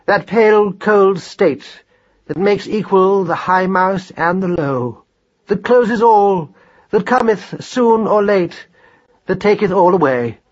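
A man performing a pompous father mouse in a storybook, long-suffering and wordy. His delivery is formal and grandiloquent, raised in pitch with a husky grain and lively swings, recorded a little dully. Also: highs cut off, full character voice.

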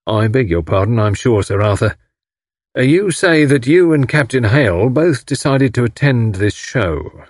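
A man voicing a solemn police inspector in an audiobook, speaking in a courteous, firm, measured and official way. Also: mid-range voice, lively intonation, dry recording, clean studio sound, voice pushed up. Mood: firm, explanatory. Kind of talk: audiobook character acting.

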